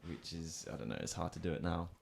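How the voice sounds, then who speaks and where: A man's voice: low-pitched, lively intonation, fast, gravelly, darkish tone, a little hiss or hum. A young British man chatting casually on a podcast, rambling with lots of fillers. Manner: rambling, many fillers and restarts. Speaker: podcast co-host. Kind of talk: casual chat.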